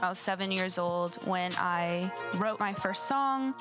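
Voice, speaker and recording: A woman narrates a short film in a reflective, personal storytelling manner. Her voice sits at a middling pitch. A loud musical score plays behind her throughout. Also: a little slow, over music. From Southern California. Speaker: musician and chef.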